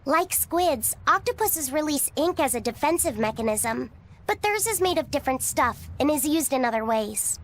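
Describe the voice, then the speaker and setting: An earnest young girl with a high voice and faint noise behind. She is a polite, bookish anime game character who questions and explains with keen curiosity. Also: expressive, moderate pace. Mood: earnest explaining. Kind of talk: English anime game dub.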